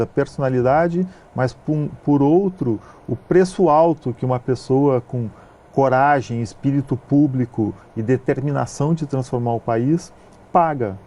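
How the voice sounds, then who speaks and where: Gaúcho guy, mellow warm voice, explains slow and steady, lots of "ah" pauses. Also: mid-range voice. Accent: gaúcho Brazilian Portuguese. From southern Brazil (Rio Grande do Sul). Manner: measured, hesitant with frequent fillers. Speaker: film producer, interview guest. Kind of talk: explanatory interview answers.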